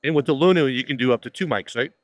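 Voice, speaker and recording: Man, loud voice, casual chatty interviewer, mid pitch, dry sound. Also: no room sound. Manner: loud, chatty, asks quick questions. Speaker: video creator interviewing. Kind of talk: casual trade show interview. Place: busy trade show floor.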